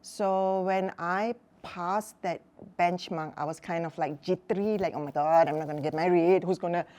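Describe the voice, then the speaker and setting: A woman in her sixties, a mother on a talk show, telling stories from her life in a relaxed, chatty way with many fillers. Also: on the low side, normal speed. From Malaysia. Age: 60s. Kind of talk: casual chat.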